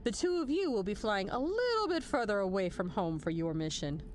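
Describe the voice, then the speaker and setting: A woman's voice: medium pitch, very animated, fairly quick, a little hiss or hum, close to an everyday voice. A woman playing a stern military general in an audio drama, formal and authoritative with a dry, wry edge. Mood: commanding, measured. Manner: formal, authoritative briefing delivery. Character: stern, commanding female military general, measured and dryly wry. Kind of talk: scripted audio drama acting.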